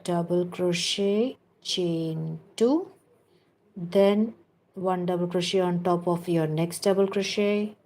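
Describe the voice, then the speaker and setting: Slow, low-voiced woman teaching crochet. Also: a little mellow. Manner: counts stitches aloud and gives patient step-by-step instructions; chatty asides with viewers. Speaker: crochet teacher and livestream host. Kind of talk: live crochet tutorial.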